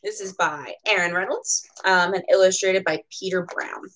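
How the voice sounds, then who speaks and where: A woman's voice: lowish voice, moderate pace, fairly bright tone, poor audio. A young adult woman reading children's picture books aloud in a playfully dramatic way, with chatty asides, recorded in a small room at home.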